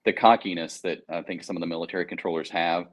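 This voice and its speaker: American guy with a deep voice, just chatting in an interview, informal and kinda slangy. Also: steady tone, quick pace. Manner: informal, anecdotal, slangy explaining. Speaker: air traffic controller, interview guest. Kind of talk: casual conversational interview.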